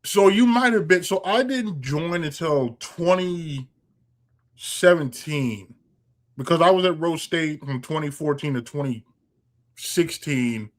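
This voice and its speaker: Young guy hosting a podcast, kinda high voice, rambles and restarts a lot. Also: slow pace. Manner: rambling, lots of fillers and restarts. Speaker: podcast host. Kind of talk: casual interview chat.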